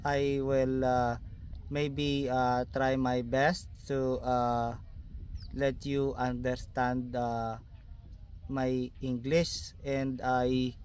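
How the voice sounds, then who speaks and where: A man with a Filipino accent, whose first language is Tagalog, playing an earnest customer who speaks haltingly with many pauses and fillers. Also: medium pitch, level tone, a little mellow, smooth. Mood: hesitant. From Philippines. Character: shy male customer learning English, earnest. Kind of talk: amateur short film acting.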